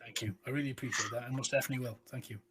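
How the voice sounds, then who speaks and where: Soft-spoken, polite man. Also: medium pitch, fairly even intonation, very fast. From Wales. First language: Welsh. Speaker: metal musician guest. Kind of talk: interview answers.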